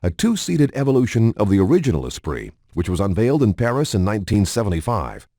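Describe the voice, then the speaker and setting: A man reading scripted, informational showcase narration for a racing game in a polished, measured announcer manner. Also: on the low side, big pitch swings, dark tone, no echo, studio quality.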